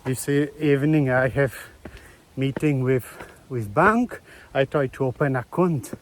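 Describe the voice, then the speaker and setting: A man from Belgium with a Belgian accent, talking to camera in a casual travel vlog, eager and chatty in halting non-native English, outdoors on rural hills. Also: medium pitch, slight background noise. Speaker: travel vlogger.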